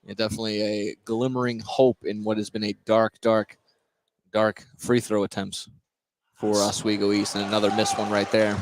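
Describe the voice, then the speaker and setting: A man's voice: on the low side, level tone, moderate pace, poor audio. A man giving conversational, opinionated color commentary on a live basketball radio broadcast. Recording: live gym broadcast with background noise.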